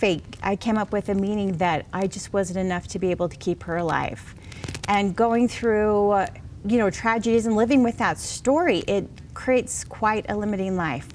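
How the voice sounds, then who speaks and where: A middle-aged woman with a mid-pitched voice talks as an interview guest. She is earnest and reassuring, sharing her story in a conversational way. There is some noise behind her. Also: darkish tone. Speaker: interview guest, children's book author.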